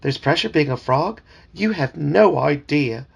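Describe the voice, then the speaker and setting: A squeaky, high-pitched little frog who fawns and fusses, calling everyone sir, as a dad reading aloud puts him on. The voice is gravelly and husky underneath and swings widely in pitch. It belongs in a bedtime storybook. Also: male voice, animated, unhurried, full character voice. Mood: fussy. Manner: servile, calls everyone sir. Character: fawning talking male frog sidekick.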